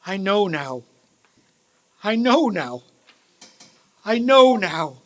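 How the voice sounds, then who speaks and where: A man's voice: high-pitched, very animated, drawn-out words, dull audio, full character voice. A man playing an elderly reformed miser in a radio play, speaking with emphatic, theatrical, old-fashioned formality. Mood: desperate, pleading.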